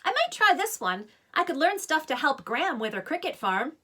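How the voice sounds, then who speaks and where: A wry, reluctant preteen girl from a children's storybook, voiced by a woman. She sounds offhand and a little defiant, bright in tone with lively intonation. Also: sits high, moderate pace, voice pushed up, exaggerated voice. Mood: defensive. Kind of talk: children's novel read-aloud.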